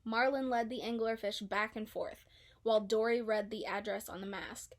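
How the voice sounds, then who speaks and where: A woman with a fairly high voice reads a children's story aloud. Her delivery is scripted storybook narration. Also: fairly even intonation, normal speed. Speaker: storybook reader.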